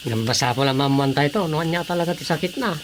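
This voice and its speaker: A man's voice: on the high side, fast, natural voice. An actor playing a cautious village councilman who urges seeing a doctor, in a radio drama. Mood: concerned. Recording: radio drama with background noise.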